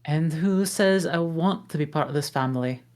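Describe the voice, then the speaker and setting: A woman's voice: low voice, moderate pace, darkish tone, lightly acted. A woman voicing a grumpy, sarcastic male ogre at a table read of a film script. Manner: gruff, sarcastic.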